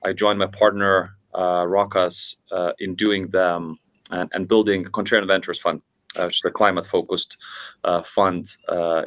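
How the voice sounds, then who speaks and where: Man from Lithuania, thirties, low voice, chatty podcast guest with lots of restarts. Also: fairly bright tone. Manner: fluent, many repeated starts and fillers. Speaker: podcast guest, venture investor. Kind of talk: conversational interview answers.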